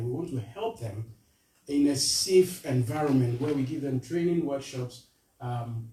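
A man from Ghana with a Ghanaian accent, giving an earnest charity appeal to a church, halting with fillers and restarts. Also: medium pitch, mellow. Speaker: charity representative speaking to a church. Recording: roomy echo, voice from far off.

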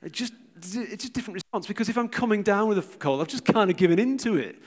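A man's voice: sits high, lively intonation, fast. British man giving an informal, hesitant talk. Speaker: church speaker giving a teaching talk. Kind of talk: informal sermon with personal anecdotes.

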